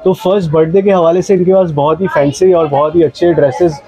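A man's voice: a little high, steady tone, a little fast, warm tone, highs cut off, soft background music. A man with a Karachi Urdu accent, interviewing shopkeepers in a market and politely asking about prices. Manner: polite, asks short repeated questions about prices. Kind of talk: street interview.

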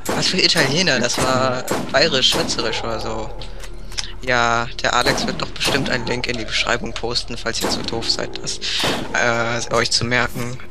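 A man's voice: mid-range voice, steady tone, moderate pace, over loud music. A young man from Germany, a guest on a gaming chat, trading dry, sarcastic banter. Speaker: guest gamer.

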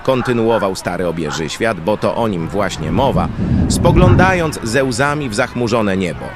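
A man's voice: fairly low pitch, lively intonation, moderate pace. A man narrating a scripted fantasy audio drama in a dramatic storytelling style, with outdoor ambience behind him. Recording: clear background ambience noise.

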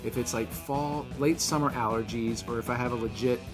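A man with a hoarse, raspy voice hosting a podcast interview in a wry, rambling way. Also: medium pitch, fairly even intonation, fairly quick, over music. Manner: rambling, self-deprecating, wry. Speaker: podcast host. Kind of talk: casual conversational interview.

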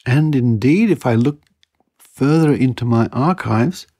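A man hosting a podcast, reading articles aloud and commenting in a measured, wry manner. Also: mid-range voice, expressive, dark tone, dry recording, clean studio sound. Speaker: podcast host.

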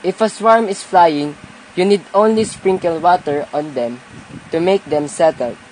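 Someone doing a pious old peasant uncle for a radio play, gently scolding, folksy, bit noisy recording. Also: medium pitch, unhurried, natural voice. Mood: instructive. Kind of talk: amateur radio play reading. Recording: noisy amateur home recording.

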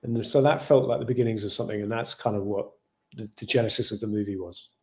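A man's voice: fairly low pitch, quick pace. A man in his forties with an English accent, a film director answering questions on a video call in a thoughtful, rambling way. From Ireland. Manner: thoughtful, rambling with many "you know" fillers. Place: home room with shelves.

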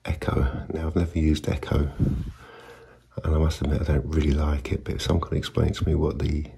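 Husky, very deep-voiced man. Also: little pitch movement, a little fast, mellow. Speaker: video maker, CB radio hobbyist. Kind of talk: casual unscripted demonstration.

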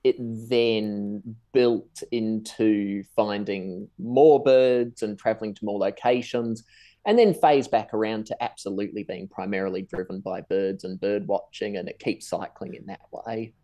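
A young man from New Zealand, raised in Tasmania, giving articulate, measured answers as a podcast guest over a video call. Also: lowish voice, steady tone, dark tone. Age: young adult. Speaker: guest, seabird researcher. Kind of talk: conversational interview answers. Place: room at home, video call.

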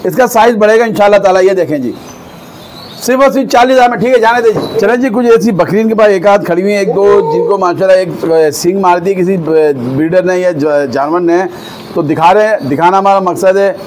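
A man walks through a goat farm, praising the animals eagerly and pitching them like a salesman. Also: a little fast, background noise. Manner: enthusiastic, repeats blessings often, salesy. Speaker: vlogger visiting a goat farm. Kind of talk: walk-through vlog commentary with a seller.

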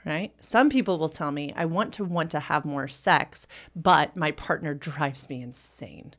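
Woman in her 30s, slightly low voice, chatty sex ed podcast host, keeps asking "right?", studio mic. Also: measured pace. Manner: expressive, acts out client quotes, frequent "right?". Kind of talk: casual podcast conversation. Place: small studio with bookshelves and pink light. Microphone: studio mic on boom arm.

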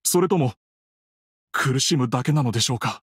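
A man's voice: mid-range voice, animated, normal speed, slightly rough, no room sound, clean studio sound. A man playing a grieving widower in an anime game, speaking politely, earnestly and with hesitation. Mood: anguished. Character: grieving adult widower, polite, troubled villager. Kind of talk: Japanese anime game voice acting.

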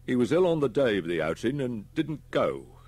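A man's voice: medium pitch, very animated, normal speed, darkish tone, no room sound, voice pushed up. A man with a British accent voicing a young detective inspector reporting plainly in an audiobook. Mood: factual. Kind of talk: audiobook character voice.

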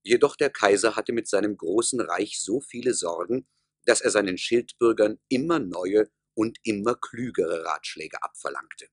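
A man narrating a fairy-tale radio play as a measured, wry, expressive storyteller. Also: on the low side, slightly rough, no echo, clean studio sound.

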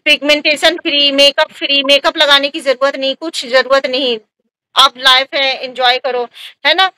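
Bright, high-pitched woman, emphatic. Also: steady tone, moderate pace, no echo, rough recording. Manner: emphatic, repetitive, with frequent tag questions. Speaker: vlogger and skin treatment provider. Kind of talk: casual advice talk to viewers.

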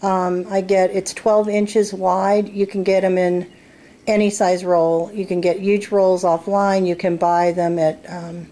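A woman's voice: lowish voice, flat delivery, measured pace, dark tone. A middle-aged woman giving a casual, plain-spoken step-by-step demonstration at a table indoors. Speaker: farmer giving a how-to demonstration. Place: indoors at a wooden table in a home.

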